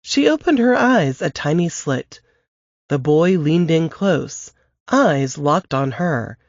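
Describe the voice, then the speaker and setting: Woman narrating with lively pitch. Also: dry recording. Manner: measured, expressive storytelling. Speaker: podcast host reading an excerpt. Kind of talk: scripted narration of a novel excerpt. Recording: dry, dull lo-fi podcast audio.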